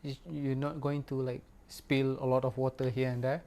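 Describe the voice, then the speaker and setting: A man whose first language is Malay, giving a casual, unhurried product review in English with many pauses and fillers. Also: medium pitch, flat delivery, dark tone. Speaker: record store owner reviewing gear.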